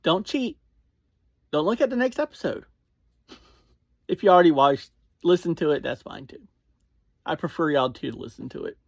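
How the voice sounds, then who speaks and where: A reviewer giving a casual spoken recap, speaking informally to listeners in short, halting phrases and often self-correcting. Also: mid-range voice, very animated, vocal fry, no echo, clean studio sound. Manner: informal, addresses listeners directly. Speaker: podcast reviewer.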